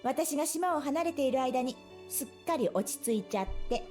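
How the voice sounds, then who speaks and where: A woman's voice: sits high, animated, racing, darkish tone, faint music behind. An actress voicing a mischievous, dramatic grown woman in a visual novel, playful and teasing. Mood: playfully teasing.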